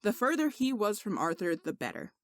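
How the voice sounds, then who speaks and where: A woman's voice: mid-range voice, very animated, a little fast, dry recording. A woman reading scripted fiction aloud as an audiobook narrator.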